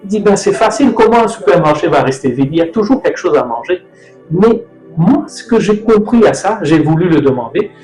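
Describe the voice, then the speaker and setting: A man's voice: high-pitched, very animated, fairly quick. Rambling middle-aged man in an echoey room. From Mauritius. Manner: rambling, loose, self-correcting. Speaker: host. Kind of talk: casual talk on nutrition. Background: soft music.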